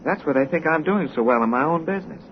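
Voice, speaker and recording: Earnest young American man, lively intonation, acted. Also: fairly high pitch, quick pace, natural voice. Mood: wistful. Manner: earnest, polite, expressive. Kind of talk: 1930s radio drama acting. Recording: muffled, noisy old radio recording.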